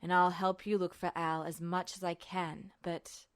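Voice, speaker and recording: A woman voicing an older military man, a smug colonel who talks in a measured, earnest, insistent way, in an audiobook story. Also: on the low side, flat delivery, dry recording, clean studio sound, pitched below the performer's own voice. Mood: earnest, sad. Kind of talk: fan fiction audiobook dialogue.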